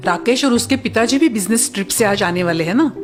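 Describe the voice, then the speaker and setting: A woman voicing a greedy, scheming middle-aged mother-in-law in a cartoon moral story, with theatrical, drawn-out exclamations. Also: on the high side, expressive, over music, close to an everyday voice. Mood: gushing, greedy.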